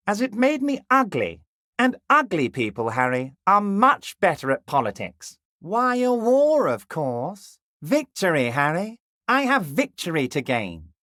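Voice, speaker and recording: An actor voicing a jovial, scheming middle-aged union boss in a video game, smooth and ingratiating, dropping low and soft on pet names, crude at times. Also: male voice, no room sound, clean studio sound, exaggerated voice. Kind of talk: video game character dialogue.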